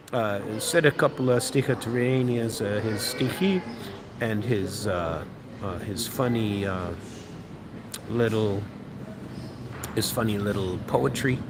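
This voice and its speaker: An American man and radio host tells a meandering story, stopping for fillers and restarting his sentences. His voice sits somewhat low. Steady noise from the restaurant around him fills the background. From United States. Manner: rambling, many fillers and restarts. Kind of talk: casual storytelling monologue. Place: restaurant or bar, eating while talking.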